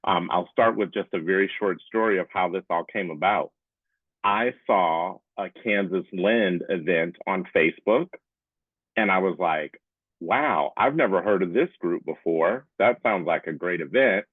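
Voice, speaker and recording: A man presents an informal online session in a low-pitched voice. He is conversational and keeps checking that listeners follow. The sound is narrow and phone-like, close and dry, as from a video call. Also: a little slow, slightly rough, dry recording. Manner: conversational, often checks in with "right?". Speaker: presenter, public affairs specialist. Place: small room at home, indoors. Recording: muffled phone-like webcam audio.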